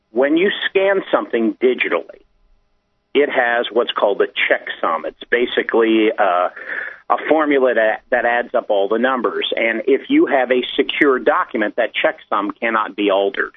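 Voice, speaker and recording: A man's voice: mid-range voice, lively intonation, normal speed, slightly rough. A man giving a conversational technical explanation, step by step, as a guest. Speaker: guest explaining digital documents. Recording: muffled, tinny phone line.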